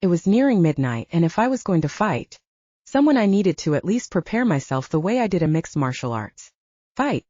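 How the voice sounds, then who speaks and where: Low-voiced woman narrating fiction, muffled audio. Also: very animated, moderate pace, dark tone, no echo. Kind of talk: scripted first-person fiction narration.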